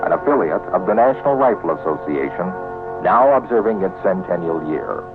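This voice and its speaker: A man's voice: deep voice, expressive, a little fast, music in the background. An American man reading a formal scripted announcement as a radio spot announcer. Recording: tinny 1970s radio spot.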